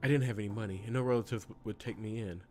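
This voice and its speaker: A man's voice: fairly low pitch, steady tone, racing, mellow, soft background music, close to an everyday voice. A man voicing a timid, fragile young servant woman in a hesitant way for a visual novel. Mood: sad, subdued.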